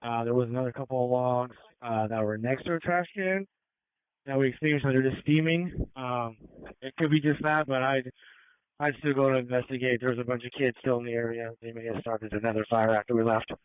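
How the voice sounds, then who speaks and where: A man's voice: mid-range voice, fairly even intonation, brisk, clear, resonant tone. A man working as an emergency responder, giving a field report over a radio scanner channel. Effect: radio transmission. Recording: tinny narrow-band radio scanner.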